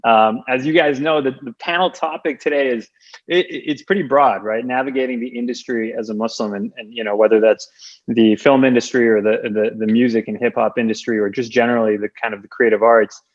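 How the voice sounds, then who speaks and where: A man's voice: medium pitch, fairly even intonation, fairly quick. Stammering male moderator, muffled audio. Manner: stammering, friendly, repeats words. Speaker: panel moderator. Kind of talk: moderating a panel discussion. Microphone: video call. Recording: slightly dull, muffled sound.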